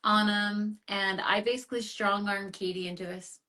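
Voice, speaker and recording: A woman's voice: mid-range voice, fairly even intonation, normal speed, pushed and bright. A woman hosting a casual livestream on a video call, bubbly and chatty and laughing often. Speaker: livestream host. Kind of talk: casual chat.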